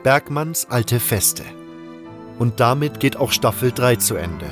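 A man's voice: medium pitch, expressive, slow. A man narrating a fantasy audiobook in a dramatic, scripted storytelling style. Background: music.

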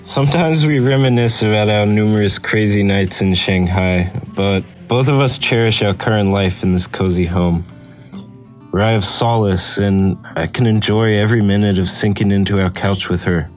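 A man's voice: on the low side, moderate pace, faint music behind. A man reading a personal essay aloud as scripted narration. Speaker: essay reader.

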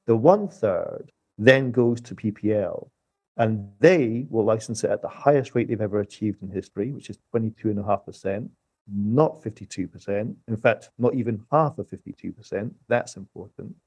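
A man's voice: lowish voice, lively intonation, moderate pace, a little mellow, husky, no echo. A man speaking as an economist guest on a podcast, explaining things step by step with emphasis.

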